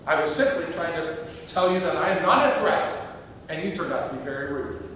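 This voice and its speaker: A man's voice: lively intonation, a little fast, fairly bright tone. A man playing an eccentric, chatty, pedantic but kindly stranger in a live stage comedy. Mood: insistent, chatty. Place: theatre stage, recorded from far off. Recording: distant, echoey theatre hall, noisy rumble.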